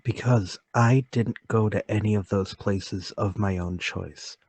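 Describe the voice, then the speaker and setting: A man's voice: lowish voice, fairly even intonation, unhurried, darkish tone, breathy edge, no room sound. A man playing a meek, bewildered murder suspect, speaking hesitantly and politely in a mystery radio play table read. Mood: quietly insistent.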